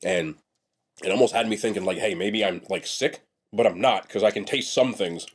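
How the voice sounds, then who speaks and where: A man's voice: lowish voice, normal speed, husky. A young man reviewing food on camera, with a congested, sniffly nasal voice, talking bluntly and chattily and trailing off into restarts. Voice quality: nasal from a blocked nose. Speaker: food vlogger. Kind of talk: casual mukbang food review.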